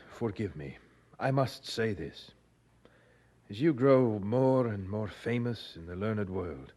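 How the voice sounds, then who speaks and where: An actor playing a zealous, earnest English preacher and husband in a radio drama, speaking with preacherly conviction. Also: male voice, on the low side, warm tone.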